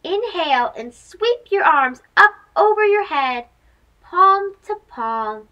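A young woman reads a picture book aloud for small children. Her voice is strikingly high and swings widely in pitch. She takes her time, with a sing-song delivery and a clear, bright edge. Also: very animated, slow, highs cut off. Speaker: host of a children's read-aloud show.

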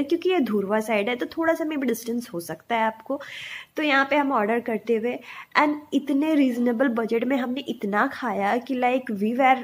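A woman in her twenties narrating a casual food vlog, chatty and enthusiastic, mixing in English words. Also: a little high. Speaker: food vlogger.

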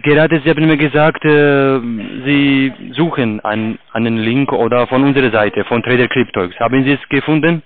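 A man with a foreign accent, not a native German speaker, giving halting phone instructions in broken German as a call-centre agent. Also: mid-range voice. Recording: narrow, tinny phone line.

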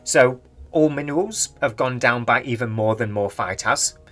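A man's voice: mid-range voice, normal speed, fairly bright tone, faint music behind. A young British man in his twenties, casually rambling through game market commentary from a small home room, his voice slightly worn. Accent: British English. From Britain. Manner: rambling, chatty, speculative. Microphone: desk microphone near face.

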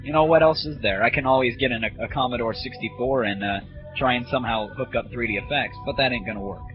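A man's voice: mid-range voice, fast talker. A man hosting a comedy audio show, ranting casually in a sarcastic, rambling, profane way. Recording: muffled lo-fi 1990s internet audio. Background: music.

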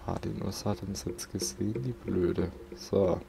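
A man's voice: sits low, fairly even intonation, a little slow, creaky, dark tone, slight background noise. A man giving casual gameplay commentary as a let's play host, muttering to himself.

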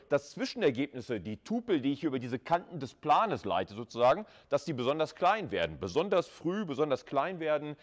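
A man giving a live university lecture, explaining informally and conversationally with rhetorical questions to his students. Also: mid-range voice. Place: classroom with chalkboard.

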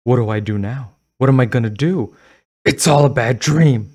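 A man voicing a frightened young girl trapped in a monster mask, with a raspy, shrill, desperate voice. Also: normal speed, darkish tone, close to an everyday voice. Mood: despairing.

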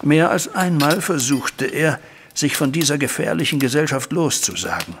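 An actor playing a troubled middle-aged banker, a widowed father, recounting events formally and deliberately in a German audio drama. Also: fairly high pitch, animated, a bit bright, a little hiss or hum. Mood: melancholy. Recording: music bed behind voice.

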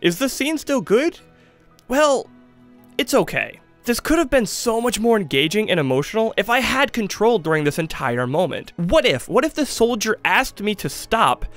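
Male video essayist, 20s; lively, higher voice, emphatic key phrases, music underneath. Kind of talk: conversational scripted video essay commentary on games. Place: small studio with acoustic foam. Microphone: studio microphone.

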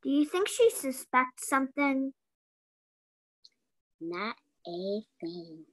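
Girlish, high, unhurried accomplice played by a young woman, sly yet deferential, radio mystery. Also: sing-song, heavily acted, poor audio. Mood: conspiring.

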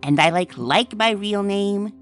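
A woman's voice: mid-range voice, unhurried, lightly acted. A woman voicing a ditzy young female pony with valley-girl phrasing in a cartoon comic dub. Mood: breezy. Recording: music behind the voice.

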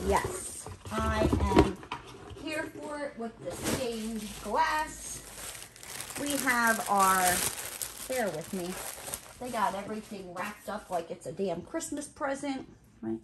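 Sassy, slow-paced woman chatting over background noise. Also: a little high, sharp tone. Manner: playful, sassy, exclamatory slang with mild swearing. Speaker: craft and unboxing vlogger. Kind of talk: casual unboxing chat to viewers. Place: indoors at a glass-topped table. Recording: clear background noise with handling clicks.

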